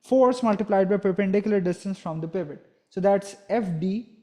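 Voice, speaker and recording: Young man, high-pitched, mellow, step-by-step teacher, checks in as he explains. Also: fairly quick. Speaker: physics teacher.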